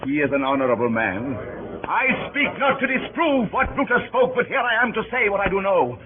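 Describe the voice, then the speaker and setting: An actor playing a grieving Roman orator stirring a mob, in theatrical, declamatory old-time radio Shakespeare style. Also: male voice, high voice, big pitch swings, moderate pace, crowd noise. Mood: impassioned, ironic. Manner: theatrical, declamatory, swinging from hushed grief to cries. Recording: muffled, hissy old radio recording.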